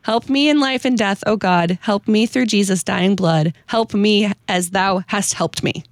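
A woman's voice: medium pitch, measured pace, dry recording, clean studio sound. An American woman hosting a casual chat, informal and giggly, who also reads verses aloud. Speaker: host presenting a hymn-writer's story.

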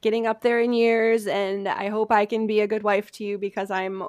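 A woman's voice: mid-range voice, little pitch movement, normal speed, a little mellow, clean tone, no room sound, dull audio. A woman hosting a podcast, casually telling history from her notes with chatty, self-deprecating asides. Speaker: podcast host presenting history research. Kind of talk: casual storytelling from notes among friends.

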